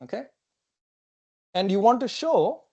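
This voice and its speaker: A young man whose first language is not English lectures at a whiteboard in a lecture hall, speaking in a somewhat high voice. He talks in a loose, thinking-aloud way, peppered with okays and fillers, picked up cleanly on a clip-on mic. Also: lively intonation, unhurried, vocal fry, a little mellow. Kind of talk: technical seminar talk at a whiteboard. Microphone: clip-on lapel mic.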